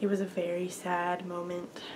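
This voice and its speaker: A woman's voice: on the low side, completely flat delivery, moderate pace, noisy background. A young woman host with a stuffy, allergy-congested voice, chatting casually and upbeat about her crafting week. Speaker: podcast host. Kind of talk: casual crafting chat to camera.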